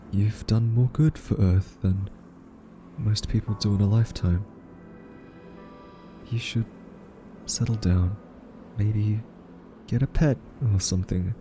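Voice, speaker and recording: A man playing an anxious, soft-spoken scientist who reasons haltingly and earnestly with a listener in an audio roleplay. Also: lowish voice.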